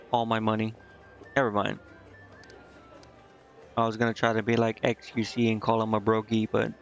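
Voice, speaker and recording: A man's voice: lowish voice, gravelly, fairly bright tone, dull audio. A man giving casual, off-the-cuff gameplay commentary as a streamer, slangy and irreverent. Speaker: video game streamer.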